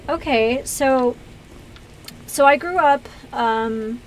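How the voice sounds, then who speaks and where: American female streamer, slightly high-pitched, casual rambling chat, light noise behind the voice. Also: drawn-out words, poor audio. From US East Coast, Pennsylvania. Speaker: video game streamer and host. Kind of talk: casual livestream chat with viewers.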